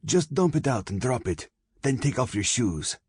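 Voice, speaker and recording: A man's voice: low voice, big pitch swings, moderate pace, slightly rough, no room sound, pitched above the performer's own voice, heavily acted. A man voicing a young girl thief in an audiobook, urgent and swinging from tough to pleading. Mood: commanding, nervous. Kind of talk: audiobook character voice.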